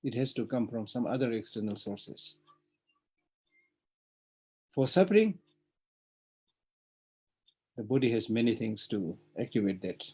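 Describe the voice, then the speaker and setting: Male Buddhist teacher, husky mellow voice, earnest teaching talk. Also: mid-range voice, lively intonation, a little slow, pauses often. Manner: earnest, repeats phrases, frequent "you know". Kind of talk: dharma teaching. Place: online teaching.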